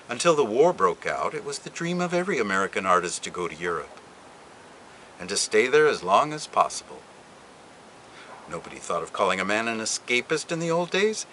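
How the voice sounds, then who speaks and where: Man giving an expressive literary reading. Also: lowish voice, a little hiss or hum, rough recording. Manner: expressive, deliberate delivery with dramatic pauses. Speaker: reader.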